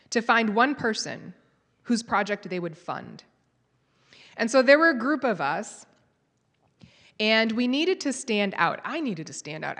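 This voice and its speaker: A woman's voice: medium pitch, a bit bright. A woman giving a live conference talk, telling a story and quizzing the audience. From United States. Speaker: conference speaker. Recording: long hall reverb.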